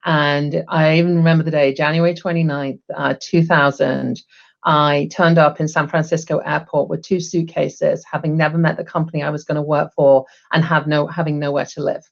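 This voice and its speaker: Woman, middle-aged, low voice, dull call audio, chatty with ums. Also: little pitch movement, normal speed, gravelly. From England. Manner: chatty, upbeat, frequent ums. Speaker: guest, HR executive. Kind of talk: casual interview talk. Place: home room, video call.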